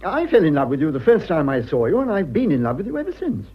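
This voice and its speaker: An actor playing a prim, petulant middle-aged husband in a period radio drama, with an upper-class British accent and a fussy, spluttering, condescending delivery. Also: male voice, fairly high pitch, wildly swooping pitch, quick pace, highs cut off, a little hiss or hum. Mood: hurt, pleading.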